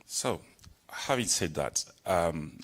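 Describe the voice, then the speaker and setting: A man, a company co-founder, giving a bold and measured stage presentation. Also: deep voice, lively intonation, a bit bright.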